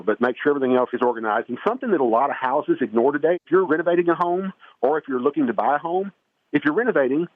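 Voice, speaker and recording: A man's voice: mid-range voice, dry recording. Man on a tinny phone line, folksy. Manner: folksy, rambling with many restarts and fillers. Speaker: home improvement expert guest. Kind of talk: casual radio interview.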